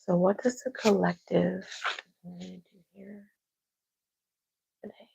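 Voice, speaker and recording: A soft-spoken woman reading tarot on a casual livestream at home, talking quietly to herself. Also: lowish voice, little pitch movement, takes it slowly, gravelly, husky, lo-fi sound. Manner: talks to herself, quiet and musing. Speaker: tarot reader. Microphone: clip-on microphone.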